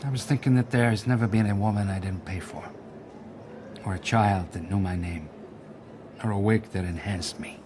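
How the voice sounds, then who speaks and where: A man's voice: fairly low pitch, normal speed, noisy background, close to an everyday voice. An older man acting a lonely, world-weary veteran assassin in a radio drama, terse and wry. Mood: wistful.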